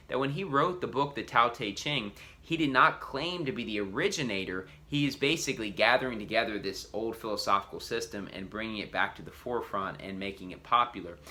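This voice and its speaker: A man's voice: fairly low pitch, bright, forceful tone. A man preacher giving an earnest teaching talk, explaining step by step with frequent restarts and "you know".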